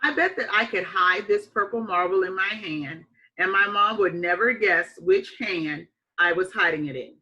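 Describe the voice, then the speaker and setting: A woman reading a children's picture book aloud like a teacher, with expressive, emphatic phrasing and deliberate dramatic pauses. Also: mid-range voice, lo-fi sound.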